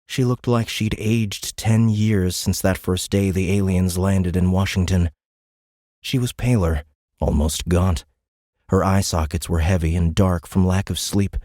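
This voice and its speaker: A man's voice: deep voice, measured pace, warm tone, no echo, clean studio sound. A man narrating a sci-fi audiobook in a dramatic, scripted storytelling style.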